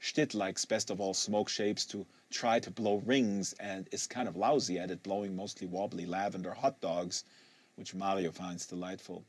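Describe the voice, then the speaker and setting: Man giving an expressive, dry-sounding literary reading. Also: sits low, moderate pace, husky, dry recording. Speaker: audiobook reader hosting a live reading series. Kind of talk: literary audiobook narration.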